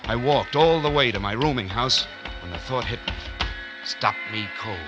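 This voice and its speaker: A man's voice: medium pitch, moderate pace, lo-fi sound, close to an everyday voice. An actor playing a wary, world-weary man on the run in a 1940s radio noir, with clipped, terse lines. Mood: tense, grim. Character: wary hard-boiled man on the run, posing as detective. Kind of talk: 1940s radio noir first-person narration and acting. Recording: 1940s radio drama with music behind.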